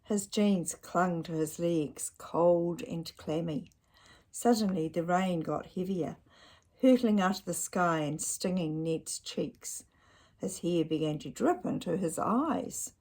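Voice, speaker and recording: A woman's voice: lowish voice, slow pace, a little mellow. A woman reading a children's picture book aloud expressively, with chatty asides and questions to young listeners.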